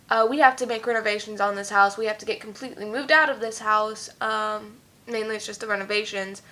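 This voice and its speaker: A young woman chatting at home in a rambling vlog, full of fillers, her voice a little hoarse. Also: on the high side, sharp tone. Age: young adult. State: slightly hoarse, losing her voice. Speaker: YouTube singer. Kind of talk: casual vlog chat.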